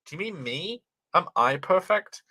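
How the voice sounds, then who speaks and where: Bright, lively young man with a light British accent. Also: sits high, measured pace, dry recording. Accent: light British, transatlantic-tinged. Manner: animated, swears freely, laughs often. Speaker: livestreamer. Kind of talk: casual live-stream chat, reading chat aloud.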